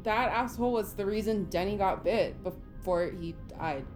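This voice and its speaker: An actress playing a sarcastic, starstruck young woman, a blunt survivor with a deadpan, snarky delivery in an audio drama. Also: mid-range voice, moderate pace, faint music behind, natural voice. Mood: blunt, wary.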